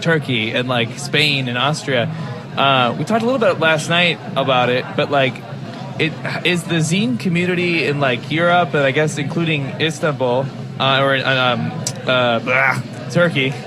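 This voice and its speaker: A man's voice: medium pitch, a little slow, a crowd in the background. A man with an American accent hosting a casual podcast interview, talking in an informal, rambling way. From United States. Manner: rambling, heavy use of "like", informal.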